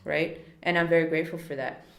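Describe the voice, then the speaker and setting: Low-voiced woman hosting in an echoey room. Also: fairly even intonation, fast talker, gravelly, fairly bright tone. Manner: earnest, searching for words, with restarts. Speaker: podcast host. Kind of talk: casual conversation. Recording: roomy echo with faint background noise.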